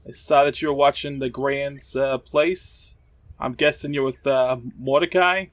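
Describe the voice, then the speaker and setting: A man's voice: mid-range voice, fairly even intonation, measured pace, no room sound. A man playing a tabletop game, improvising casually in character in an offhand, conversational way.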